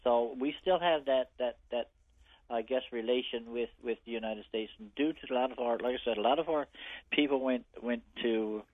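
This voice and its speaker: A man, a radio guest who speaks hesitantly with many pauses. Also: mid-range voice, no room sound. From Newfoundland. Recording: dry tinny phone line.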